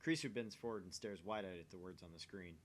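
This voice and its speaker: A man's voice: low-pitched, quick pace, slight background noise. A man reading narration aloud for a voice-acted game playthrough. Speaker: visual novel let's player reading aloud.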